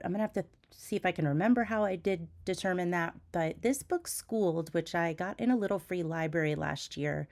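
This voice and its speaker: Woman vlogger, stuffy from a cold, low-ish voice, relaxed chatty delivery with restarts. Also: gravelly. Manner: chatty, with frequent ums and restarts. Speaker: reading journal vlogger. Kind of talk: casual chat and voiceover. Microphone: voiceover mic.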